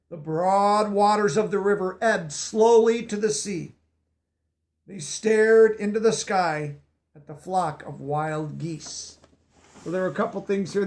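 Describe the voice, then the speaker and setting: A man's voice: high voice, darkish tone. A man reading a children's picture book aloud, stopping to explain words in a teacherly way. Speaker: storybook reader.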